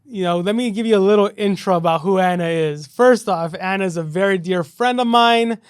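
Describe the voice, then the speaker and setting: A man's voice: sits high, fairly quick, clear, resonant tone, dry recording, clean studio sound. A man hosting a casual podcast, upbeat and loose, filling his talk with "you know" and "right". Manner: upbeat, filler-heavy, says "you know" and "right" a lot. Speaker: podcast host.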